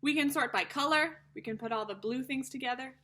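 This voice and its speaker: A woman's voice: high voice, fairly quick, sharp tone. A woman teacher reading a picture book aloud to young children, explaining things simply and speaking to them directly as friends.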